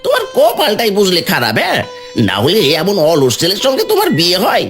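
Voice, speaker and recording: A woman's voice: medium pitch, huge pitch swings, soft background music. A woman doing a nosy gossiping village man. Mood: prying. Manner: prying, rapid nagging.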